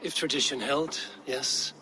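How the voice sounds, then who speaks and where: An actor playing a steady, commanding male Viking clan leader in a game cutscene. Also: medium pitch, measured pace, lightly acted. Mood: resolute. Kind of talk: video game cutscene acting.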